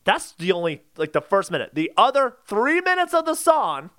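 A man's voice: high-pitched, sing-song, normal speed, a bit bright, clean tone, no echo, studio quality. A man co-hosting a comedy podcast, bantering casually in an incredulous, insistent, teasing way. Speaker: podcast co-host.

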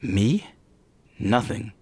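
An easygoing but guarded woman in an audio drama. Her very low voice is animated and teasing, recorded dry and close. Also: extremely slow, husky, no room sound, exaggerated voice.

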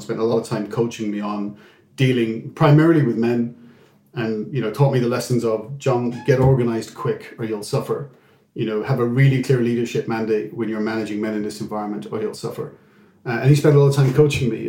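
A man's voice: mid-range voice, gravelly, warm tone, reverberant. A middle-aged man with an Irish accent, talking through his career as an interview guest, with frequent restarts and fillers. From England. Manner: halting, with many restarts and fillers. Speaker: guest executive.

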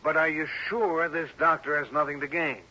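An actor with an American accent playing a skeptical, blunt police sergeant in a 1960s radio detective drama. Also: male voice, fairly high pitch, steady tone, brisk, pushed and bright. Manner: blunt, doubting, interjecting. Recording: muffled vintage radio recording.

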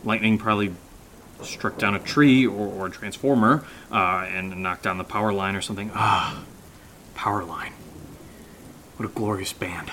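A man's voice: on the low side, normal speed, fairly bright tone, slight background noise. An actor playing an anxious young man who stammers and mutters to himself in an audio drama. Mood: nervous muttering. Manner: jittery muttering, stammering, self-talk. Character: anxious, rambling young man nervously searching alone.

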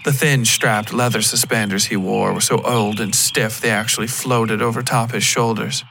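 Low, gravelly man narrating dark fiction. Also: steady tone, normal speed, a little hiss or hum. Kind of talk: scripted first-person dark fiction narration.

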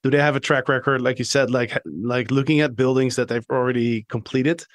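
A man's voice: mid-range voice, fairly even intonation, brisk, no room sound. A man hosting a podcast interview, talking casually with lots of fillers and asking his guest questions. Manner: casual, many fillers like "like" and "right". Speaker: podcast host.

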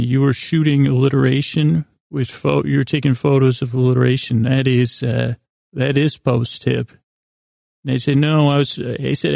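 A man with a creaky voice hosting a bedtime podcast, improvising a halting, meandering, self-deprecating monologue that slips into imagined dialogue. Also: mid-range voice, darkish tone, no room sound, clean studio sound. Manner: acts out imagined back-and-forth dialogue in his own voice. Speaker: podcast host.

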